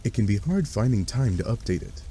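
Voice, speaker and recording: A man's voice: fairly low pitch, very animated, brisk, dark tone, soft background music. A man playing a wry, exasperated game narrator, delivering scripted comic narration in a sardonic manner.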